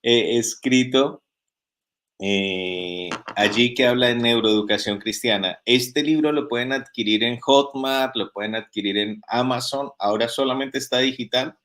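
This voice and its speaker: A man's voice: medium pitch, unhurried, a bit bright. Male pastor, video call guest, earnest lecturing tone, asks rhetorical questions. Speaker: pastor and author. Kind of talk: interview guest. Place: home in Spain.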